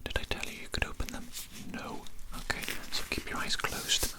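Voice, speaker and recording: A man playing a condescending, menacing evil doctor in an ASMR roleplay, whispering in a pompous, repetitive, deliberate way close to the microphone. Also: lightly acted. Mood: pompous, controlling. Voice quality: whispered, unvoiced. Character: evil male doctor, condescending, menacing mad scientist kidnapper.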